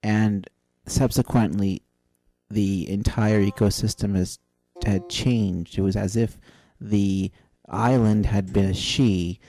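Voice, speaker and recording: Man playing a dark, gravelly old sea captain. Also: on the low side, steady tone. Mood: musing, hesitant. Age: older. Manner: halting, rambling, dramatic pauses and repetitions. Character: salty old sea captain telling rambling seafaring tales. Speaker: storyteller. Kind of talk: old-time radio adventure storytelling, loosely improvised. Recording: voice over easy listening music. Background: easy listening soundtrack.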